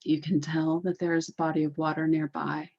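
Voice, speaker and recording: A woman leading a guided meditation in a deliberate, soothing, repetitive way, on a dull, muffled recording. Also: low voice, little pitch movement, vocal fry, a little mellow.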